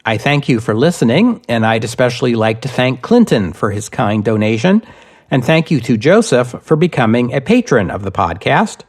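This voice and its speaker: Man narrating history, measured and deliberate. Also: mid-range voice, very animated, breathy edge. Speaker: history podcast host and narrator.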